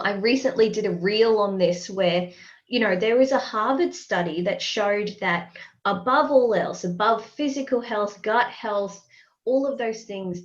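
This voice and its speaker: Woman podcast host, slightly muffled. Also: medium pitch. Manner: friendly, chatty, many fillers. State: has a respiratory ailment. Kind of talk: casual interview chat.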